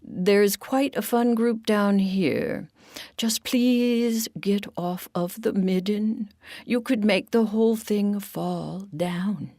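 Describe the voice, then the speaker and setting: A woman's voice: mid-range voice, slow pace, dark tone, dry recording, clean studio sound, voice pushed up. A woman voicing Giddle, a proud and earnest male pack rat, speaking firmly and insistently.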